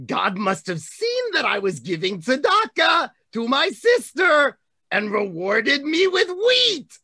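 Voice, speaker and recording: A middle-aged man voicing an excitable, big-hearted dinosaur hand puppet, telling a children's story with theatrical delivery. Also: very high-pitched, takes it slowly, no room sound, clean studio sound, exaggerated voice. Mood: earnest storytelling. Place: room at home. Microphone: video call, computer mic.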